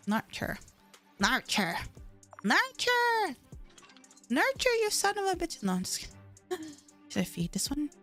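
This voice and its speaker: A woman's voice: high-pitched, fairly bright tone. A woman game streamer with a slight lisp, chatting casually on a live stream while playing, mumbling and stumbling over her words.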